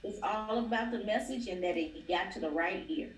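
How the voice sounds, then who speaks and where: A woman's voice: medium pitch, normal speed, a little hiss or hum. Emphatic woman on a roomy, distant line. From Nashville, Tennessee. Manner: emphatic, repeats phrases for stress. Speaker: interview guest, educator. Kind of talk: casual livestream interview. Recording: roomy, distant, faint ambience.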